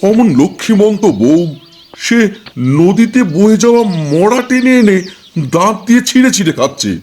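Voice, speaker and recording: Man playing a testy, high-voiced old village father. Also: lively intonation, moderate pace, darkish tone, faint music behind. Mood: anxious pleading. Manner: scolding, exasperated, fussy. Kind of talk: Bengali folk-tale cartoon acting.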